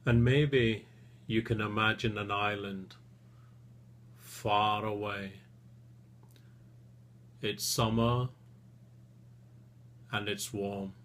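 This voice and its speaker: A man leading a guided relaxation session as a therapist, speaking soothingly and gently in short phrases with long pauses. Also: on the low side, fairly even intonation.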